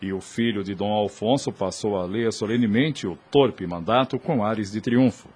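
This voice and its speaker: A man's voice: on the low side, moderate pace, a little mellow, slight background noise. A man with a Brazilian accent narrating a radio drama in a formal, literary, scripted style. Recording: music under the voice.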